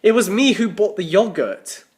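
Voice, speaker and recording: A man's voice: high-pitched, expressive, normal speed, darkish tone, voice pushed up. A man voicing a sardonic, exasperated boy, the younger brother in a storybook, with dry, teasing emphasis.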